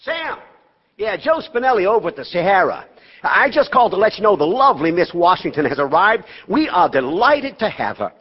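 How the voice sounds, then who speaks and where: A high, very animated male voice as a brash casino boss. He is slick and bossy like a salesman in this stage play, with a hall's long ring. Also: fairly quick, lo-fi sound. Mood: slick, wheedling. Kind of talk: stage drama acting.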